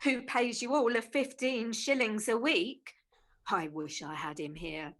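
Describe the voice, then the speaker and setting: A woman's voice: a little high, measured pace, a bit bright. A woman playing a fond, emphatic, easily indignant Victorian mother in an amateur radio play.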